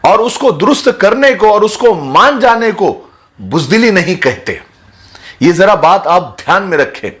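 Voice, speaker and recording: High-pitched man, earnest explainer. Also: sing-song, measured pace, a bit bright. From India. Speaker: social commentator speaking to his video audience. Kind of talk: earnest explanatory talk, sermon-like.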